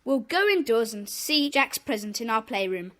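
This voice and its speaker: An actor playing a bossy, indignant schoolboy club leader in a children's audio drama, brisk and commanding. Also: mid-range voice, big pitch swings, a bit bright.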